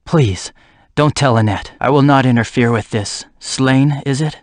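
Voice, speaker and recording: Game role: grim, vengeful assassin voiced by a man, formal and measured, mid-pitched, dry sound. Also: no room sound, lightly acted. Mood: grave, resigned. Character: brooding vengeful male assassin, haunted by his past. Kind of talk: dramatic English video game voice acting.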